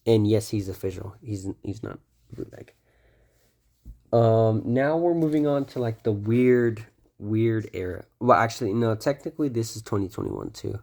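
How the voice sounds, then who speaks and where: A man's voice: on the low side, expressive, normal speed, creaky, darkish tone. A young man casually showing off his toy collection, rambling with lots of ums and self-corrections, his voice a bit stuffy from a cold. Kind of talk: casual show-and-tell of a collection.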